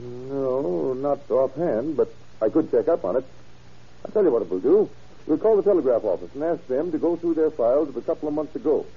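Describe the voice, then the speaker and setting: Dull vintage radio sound carries an obliging small-town banker. His voice is husky and creaky, and he talks hesitantly, thinking aloud as he recalls details in old radio drama manner. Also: mid-range voice, expressive, fairly quick. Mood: helpful, recalling.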